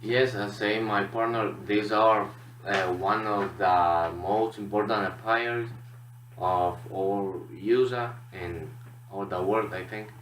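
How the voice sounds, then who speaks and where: Halting Honduran man in an echoey room. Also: fairly low pitch, fairly even intonation, a bit bright. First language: Spanish. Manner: halting, hesitant non-native English. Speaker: student presenter. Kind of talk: classroom presentation. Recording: echoey room, distant mic, background noise.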